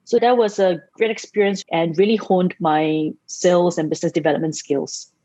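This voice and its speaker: A woman from Singapore, a company founder, telling her career story in a podcast interview, measured with small restarts. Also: on the low side, fairly even intonation, warm tone, dry recording. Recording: dry, muffled, lo-fi sound.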